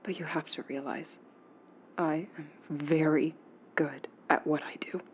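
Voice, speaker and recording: A woman acting as a haunted, defiant neuroscientist confessing secrets in an audio drama, in a tense, hushed, breathy delivery. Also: low-pitched, steady tone, measured pace, highs cut off, a little hiss or hum. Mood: grave, confiding.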